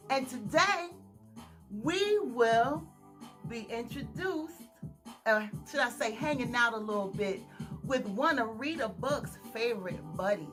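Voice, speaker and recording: A woman's voice: high-pitched, bright, forceful tone. A woman hosting a children's storytime, reading aloud in an exaggerated, singsong way and putting questions to her young listeners. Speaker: children's storytime host, author.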